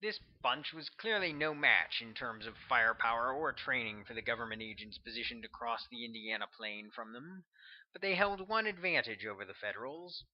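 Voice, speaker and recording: A man narrates a thriller audiobook in a mid-range voice. He reads scripted prose as the author of the book. The recording is dull and lo-fi. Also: mid-range voice. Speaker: author reading own audiobook.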